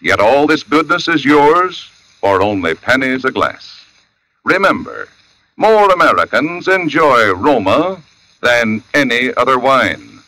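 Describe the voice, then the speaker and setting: A man's voice: medium pitch, big pitch swings, slow pace, bright, forceful tone, rough recording. A man reading a 1940s radio advertisement as a polished, emphatic commercial announcer.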